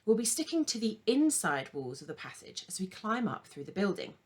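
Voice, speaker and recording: A woman voicing an uppity little male elephant shrew, brisk, impatient and officious, in a children's storybook. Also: mid-range voice, very animated, husky. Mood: brisk, explaining.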